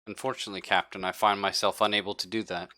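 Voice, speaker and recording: A man voicing a calm, remorseless female android who speaks with formal, measured politeness in a tabletop game. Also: fairly low pitch. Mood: cold, regretful.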